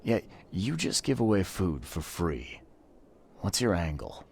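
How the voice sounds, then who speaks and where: A man's voice: low-pitched, sing-song, a little slow, gravelly, darkish tone, slight background noise, heavily acted. A man playing a terse, world-weary private detective in a video game, dry and guarded in manner. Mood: skeptical. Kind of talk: video game cutscene acting.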